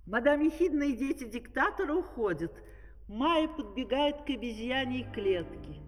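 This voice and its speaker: A woman's voice: high-pitched, lively intonation, moderate pace. A woman narrator giving scripted storytelling narration for a fairy-tale opera. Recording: reverberant vintage vinyl recording with music.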